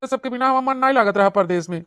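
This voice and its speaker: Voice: medium pitch, very fast, a bit bright, clear, resonant tone, dry recording, studio quality, lightly acted. An actor playing a lovesick, pleading young village brother-in-law in a comedy cartoon, gushing and wheedling in an Awadhi accent. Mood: plaintive. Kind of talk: cartoon comedy acting in rural dialect.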